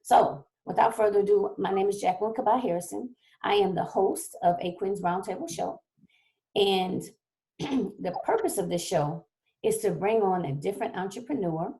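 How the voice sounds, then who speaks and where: A woman hosts a live online talk show, her voice a little hoarse as she gets over a cold. Her tone is mellow and warm-toned, and she chats easily, often checking in with her audience. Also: mid-range voice, normal speed, rough recording. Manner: chatty, keeps checking in with "okay?". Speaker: show host. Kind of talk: casual livestream talk show hosting.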